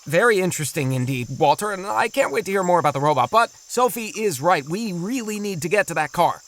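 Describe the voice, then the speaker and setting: A man's voice: high voice, expressive, a little fast, fairly bright tone. A man playing a kindly, practical father in a kids' audio drama, reasonable and encouraging. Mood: reasonable, concerned. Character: kindly, sensible, practical father of two kids.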